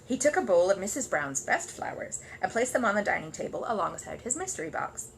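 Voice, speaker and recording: A woman reading a children's story aloud in animated storybook narration. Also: mid-range voice, a little fast, vocal fry, a little hiss or hum, low-quality recording.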